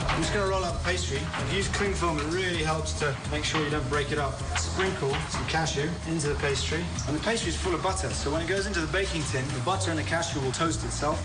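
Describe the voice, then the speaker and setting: Male TV chef, eager running commentary, slightly high voice, echoey room. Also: distant mic. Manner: enthusiastic, chatty, explains as he cooks. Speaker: chef and show host. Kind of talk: casual cooking demonstration. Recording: roomy, off-mic, music behind.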